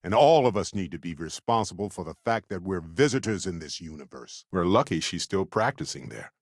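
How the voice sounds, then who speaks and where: A man voicing a warm, wry, chatty middle-aged space explorer companion in a video game, conversational and playful. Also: low-pitched, sing-song, brisk, no room sound, clean studio sound, exaggerated voice. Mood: earnest. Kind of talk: video game voice acting, short character lines.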